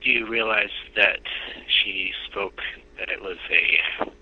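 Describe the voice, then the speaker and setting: A man talking haltingly and defensively on a lo-fi phone call. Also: deep voice, steady tone, vocal fry, slightly rough. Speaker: prank call target. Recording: dull, lo-fi phone line.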